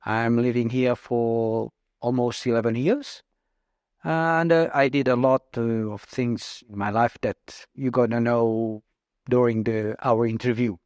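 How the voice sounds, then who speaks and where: A man in his early fifties from Portugal, a native Portuguese speaker, answering interview questions in halting English with many pauses and fillers. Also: medium pitch, steady tone, darkish tone, no echo. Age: 50s. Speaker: guest.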